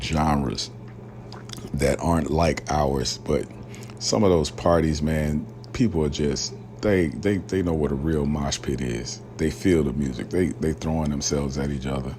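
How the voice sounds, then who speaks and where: A man's voice: rumbling low, darkish tone, a little hiss or hum. A man from rural Alabama hosting a casual solo podcast, talking loosely with lots of fillers and slang. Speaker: podcast host.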